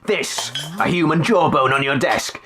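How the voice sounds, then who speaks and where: A man's voice: fairly high pitch, lively intonation, a little slow, crowd noise. A man hosting a comedy radio show with a bombastic, theatrical manner. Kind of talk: comic radio sketch acting and hosting.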